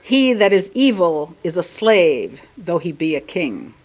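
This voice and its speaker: A woman reading a sermon aloud, deliberately, with emphatic stress on key words. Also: fairly low pitch, sing-song. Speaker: lay reader delivering a sermon at a church. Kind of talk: read-aloud sermon with brief asides.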